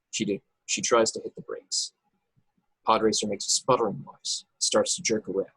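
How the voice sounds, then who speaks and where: A man's voice: lowish voice, slightly rough, no echo, rough recording. A man reading scripted action narration and stage directions at an online table read. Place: indoors, remote video call table read.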